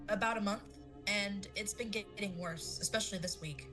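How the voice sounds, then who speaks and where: A woman playing a worried, high-achieving student girl in an audio drama, hesitant and searching for words. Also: moderate pace, music in the background, lightly acted.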